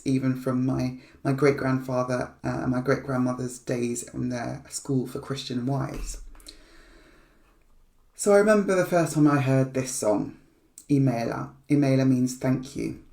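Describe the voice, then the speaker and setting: A woman's voice: extremely low pitch, vocal fry, warm tone, reverberant. A woman, born in Nigeria and raised in England, giving an earnest, thoughtful personal reflection with small restarts, recorded remotely indoors. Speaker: charity fundraising head giving a reflection.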